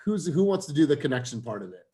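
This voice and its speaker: A man's voice: fairly high pitch, big pitch swings, quick pace, mellow. A man leading a sales training meeting in casual, informal talk, calling people "man" and "bro". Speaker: team leader running a sales training meeting.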